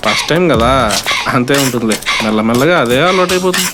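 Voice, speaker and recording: A man's voice: medium pitch, animated, quick pace, very noisy, close to an everyday voice. Man playing a cheeky, sarcastic young sidekick. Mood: teasing. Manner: teasing, wisecracking. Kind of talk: comedy audio drama acting. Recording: loud music behind the voice.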